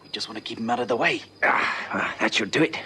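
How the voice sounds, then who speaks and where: An actor playing a cocky, slangy safe-cracking crook in a radio drama. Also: male voice, fairly low pitch, fast talker, sharp tone. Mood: tense, cocky. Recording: faintly noisy vintage radio recording.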